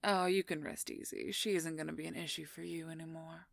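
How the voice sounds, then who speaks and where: A woman playing a smug, possessive royal werewolf schemer in an audio roleplay, silky and condescending, with a husky, breathy, creaky near-whisper. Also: deep voice, fairly even intonation, no room sound, clean studio sound. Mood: smug, dismissive. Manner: silky, condescending, slow and deliberate. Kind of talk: audio roleplay acting, addressing the listener.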